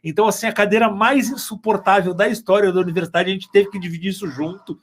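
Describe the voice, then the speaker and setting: A man's voice: sits high, steady tone, fast talker. A man chatting casually, rambling and joking as he goes.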